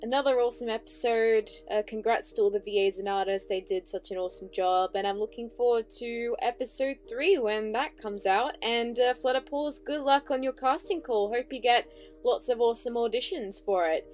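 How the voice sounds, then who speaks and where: A woman's voice: a little high, moderate pace, darkish tone. Gushing woman commentator over light music. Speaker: reaction commentator, channel host. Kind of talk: casual reaction commentary.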